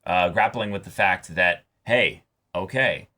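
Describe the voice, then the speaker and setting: A man's voice: deep voice, a little slow, pushed and bright. A man co-hosting a reaction show, chatting casually with informal, joking banter. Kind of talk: casual reaction chat and commentary.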